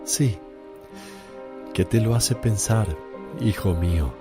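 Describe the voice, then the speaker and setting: A man playing Jesus in a radio drama, speaking gently and solemnly as a teacher and preacher. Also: on the low side, slow pace, music in the background. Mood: tender.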